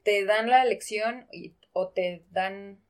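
A woman's voice: mid-range voice, slow pace, smooth. A woman co-hosting a casual discussion about literature, explaining things and picking her words carefully. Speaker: podcast co-host.

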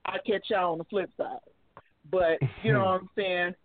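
A woman's voice: on the low side, steady tone, a little slow, no room sound, soft background music. A woman in her thirties, a single mother, talking bluntly and laughing often in a casual call-in chat. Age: 30s. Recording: dry tinny phone line.

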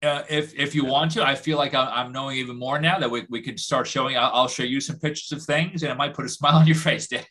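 A man's voice: mid-range voice, fairly even intonation, fast talker, hardly any pauses, sharp tone. A man hosting an interview, eager and rambling, stumbling over his words as he asks questions. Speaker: podcast host. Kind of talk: casual interview chat.

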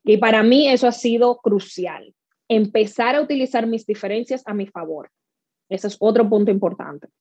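A woman's voice: on the high side, moderate pace, a little mellow, no echo. A woman from the Dominican Republic with a Dominican accent, a guest in a conversational interview, telling her stories with animation and acting out the lines she quotes. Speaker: guest, speaker and workshop leader. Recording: slightly dull, dry sound.

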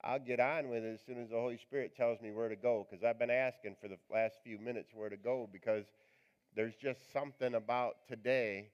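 A male pastor with a raspy voice, preaching a live church sermon in a chatty, joking, rambling way. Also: medium pitch, fairly even intonation, a little fast, muffled recording. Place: church sanctuary.

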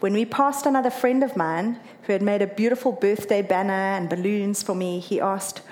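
A woman's voice: mid-range voice, normal speed, slight background noise. A woman from South Africa with a South African accent, earnestly telling a personal story about running a marathon. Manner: earnest, emotional, lively when quoting others.

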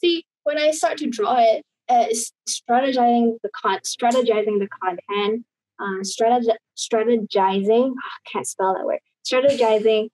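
Husky higher-voiced woman, rambling. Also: moderate pace, darkish tone, dry recording, poor audio. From Indonesia. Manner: rambling, many fillers and restarts. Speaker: guest. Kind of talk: casual conversation over video call.

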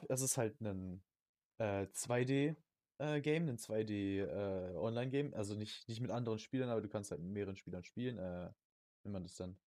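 A man's voice: mid-range voice, warm tone, dry recording. A young man chatting casually as a podcast host about video games, peppering his talk with youth slang and English gaming words. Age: young adult.

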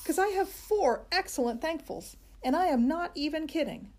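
A woman doing a loud spunky little schoolgirl. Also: high-pitched, moderate pace, pitched above the performer's own voice. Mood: eager. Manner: excited, boastful, emphatic. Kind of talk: audiobook character voice.

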